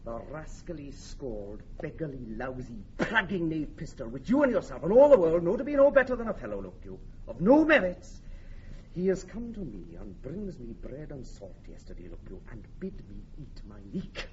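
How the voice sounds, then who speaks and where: Excitable high-pitched Welsh captain. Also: male voice, normal speed. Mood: indignant. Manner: emphatic, wordy, repetitive. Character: fiery, pedantic Welsh army captain, comically insistent. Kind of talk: classic Shakespearean radio acting. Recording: muffled, faintly noisy old radio recording.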